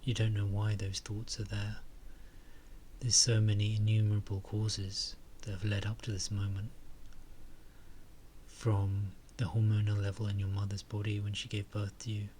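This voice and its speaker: A man leading a guided meditation and reflection in a soft, calm way, with phrases trailing into breathy murmurs. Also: lowish voice, normal speed, slight background noise.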